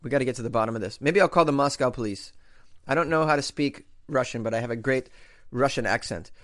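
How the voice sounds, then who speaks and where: A man hosts a comedy news show in a wry, jokey manner. He reads odd headlines aloud and riffs on them with quick asides and frequent laughter. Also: medium pitch. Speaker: host.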